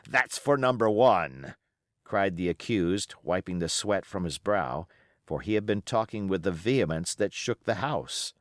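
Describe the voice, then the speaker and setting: A man's voice: sits low, moderate pace, no echo, clean studio sound. A man reading an adventure novel aloud as scripted audiobook narration. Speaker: audiobook reader.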